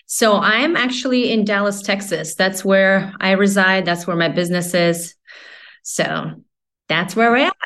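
A woman's voice: medium pitch, a little slow, a bit bright. Chatty Latvian-born woman in her forties. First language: Russian. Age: 40s. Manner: chatty, rambling with fillers and restarts. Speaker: guest. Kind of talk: casual interview chat.

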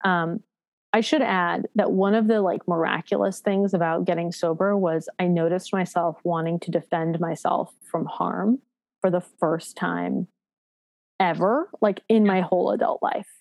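A woman in her thirties speaks in a lowish voice, recorded close and dry with no room sound. She tells her own story frankly and reflectively, pausing and restarting often, with plenty of fillers and a self-deprecating edge. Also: fairly even intonation, creaky, a little mellow, no room sound. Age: 30s. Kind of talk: candid personal storytelling.

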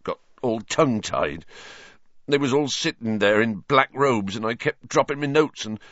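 A big, simple groundskeeper from a storybook, played by a man reading aloud, speaking in rustic, sorrowful phrases. He is soft-hearted and despondent, with a lively rise and fall. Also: medium pitch, moderate pace, dry recording, lo-fi sound, pitched above the performer's own voice, close to an everyday voice. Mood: guilty, numb. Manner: sad, rustic ungrammatical speech.

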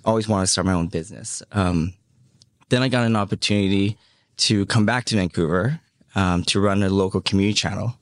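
Low-voiced man telling his story haltingly. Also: dry recording, clean studio sound. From Hong Kong. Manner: halting, full of "um" and "you know", easygoing. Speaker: podcast guest, media entrepreneur. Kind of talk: casual life-story interview.

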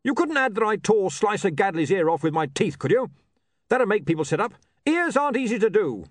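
Man as an indignant high-pitched barbarian brute. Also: very animated, fairly quick, no echo, studio quality. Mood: aggrieved. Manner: blustering, indignant, simple ungrammatical speech. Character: thick-shouldered male barbarian with wounded pride.

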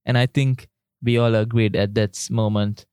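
A young man from Sri Lanka talks earnestly on a podcast, stumbling now and then. His voice sits a little low. The sound is dry and close. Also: level tone, moderate pace, warm tone, smooth, no echo. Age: young adult. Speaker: podcast co-host. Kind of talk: casual chat.

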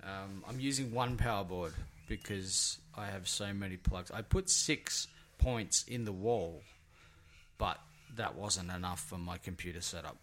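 Hoarse rambling man hosting a podcast. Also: low voice, unhurried, fairly bright tone, a little hiss or hum. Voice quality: raspy. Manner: rambling, self-mocking. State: hoarse from singing. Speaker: podcast host. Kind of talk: casual podcast chat. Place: small home studio.

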